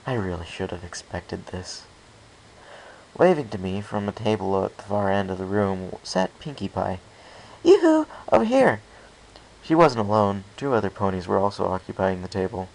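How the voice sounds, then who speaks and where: A man's voice: low-pitched, moderate pace, gravelly, low-quality recording. A man narrating a first-person story as an amateur audiobook reader, reading carefully with some stumbles. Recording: faint background hiss.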